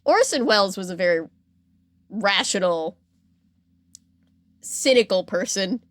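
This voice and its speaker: A woman hosting a podcast, telling a story in a casual, animated, slangy way and acting out quotes. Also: medium pitch, measured pace, no echo. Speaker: podcast host.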